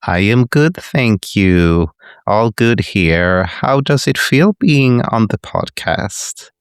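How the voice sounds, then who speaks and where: A man's voice: fairly low pitch, animated, takes it slowly, smooth, dry recording, studio quality. A man hosting a podcast who asks short questions and gives brief acknowledgements. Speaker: podcast host. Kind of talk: interview questions.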